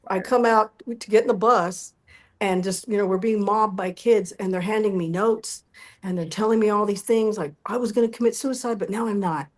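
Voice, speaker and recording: Middle-aged female musician guest, mid-pitched, recounting memories in an animated, conversational way with quoted dialogue. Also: moderate pace. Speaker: interview guest, musical performer. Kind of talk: animated personal storytelling.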